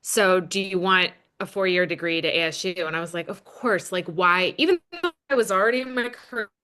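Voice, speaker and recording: A woman's voice: lowish voice, fairly quick, sharp tone. A woman chatting informally in a video-call interview, often correcting herself as she explains. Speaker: adult college student.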